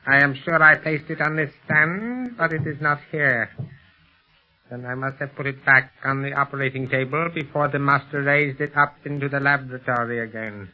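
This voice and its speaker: A man's voice: mid-range voice, normal speed, lightly acted. An actor playing a master criminal's fearful henchman in a 1930s radio serial, obsequious and anxious, with formal phrasing. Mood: anxious muttering. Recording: dull vintage 1930s radio recording.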